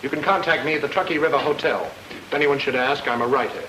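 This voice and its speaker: An actor playing a cool, wisecracking private detective with a dry, clipped delivery in an old radio noir. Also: male voice, mid-range voice, fast talker, pushed and bright, a little hiss or hum, close to an everyday voice, poor audio. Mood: businesslike.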